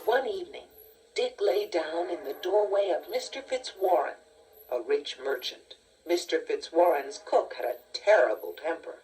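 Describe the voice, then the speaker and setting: A woman reading a scripted children's story in a measured, expressive storytelling manner. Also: on the low side, slight background noise. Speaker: storybook narrator. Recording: distant-sounding cassette tape transfer. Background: music.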